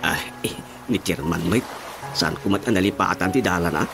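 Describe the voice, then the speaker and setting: A man's voice: low voice, moderate pace, creaky, background noise. A man acting a sheepish village councilman in an Ilocano radio drama comedy, stammering hesitantly, with an Ilocano accent. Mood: sheepish, apologetic. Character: sheepish village councilman making excuses to his boss. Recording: loud music behind the voice.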